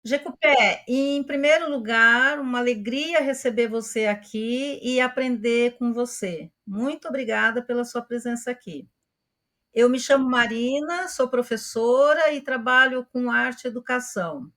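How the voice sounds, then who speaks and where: A woman's voice: on the high side, unhurried, fairly bright tone. A woman who is a teacher, asking a guest speaker a long, courteous question. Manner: polite, elaborate phrasing.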